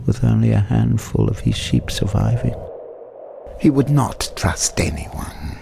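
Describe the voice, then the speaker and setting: An actor playing a brooding cab driver who tells grim local history in a slow, measured radio play monologue, with faint noise behind. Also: male voice, fairly low pitch, gravelly, a little mellow, heavily acted.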